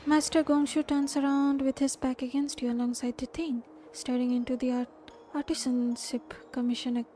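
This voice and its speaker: A woman's voice: high-pitched, little pitch movement, normal speed, warm tone, soft background music. A woman with an Indian accent livestreaming a game, reading its text aloud and reacting casually. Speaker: game streamer.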